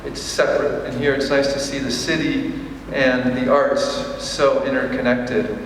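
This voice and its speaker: A man's voice: medium pitch, flat delivery, slow, slight background noise. Hesitant man speaking in a big echoey hall. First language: English. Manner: hesitant, many ums and restarts. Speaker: exhibiting artist. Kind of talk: press conference remarks. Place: large echoey museum hall. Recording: echoey hall, distant mic.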